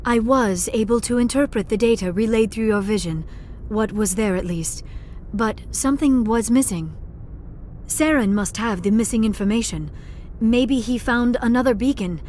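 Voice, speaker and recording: Woman playing a gentle, formal alien scientist. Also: on the high side, normal speed, slight background noise, close to an everyday voice. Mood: earnest, weary. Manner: formal, precise speech without contractions. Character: gentle, earnest young female alien scientist. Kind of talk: video game dialogue acting.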